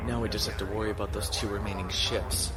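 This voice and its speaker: An actor playing a decisive but caring starship captain in a science fiction audio drama, brisk yet considerate. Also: male voice, lowish voice, flat delivery, music in the background, close to an everyday voice. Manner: brisk orders softened with concern.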